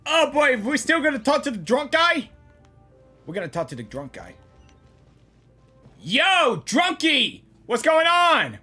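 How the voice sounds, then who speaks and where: Lively, bright, high-pitched woman. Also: measured pace, pauses often, soft background music. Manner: exclamatory, repeats phrases. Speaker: gaming reaction video host. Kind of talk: casual excited gameplay reaction commentary.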